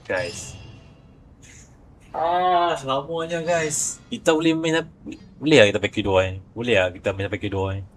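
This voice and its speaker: A man's voice: medium pitch, animated, moderate pace. A Malaysian man chatting informally and grumbling repetitively as he streams a game. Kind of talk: casual livestream chat while playing. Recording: game music behind the voice. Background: video game music and dialogue.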